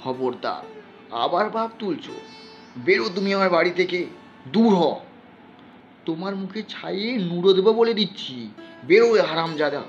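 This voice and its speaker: A man's voice: sits high, expressive, moderate pace, pauses often, muffled recording, soft background music, voice pushed up. A man voicing a harsh, abusive village husband in an audio story, barking threats and insults. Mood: furious. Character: hot-tempered abusive village husband, a drinker, bullying.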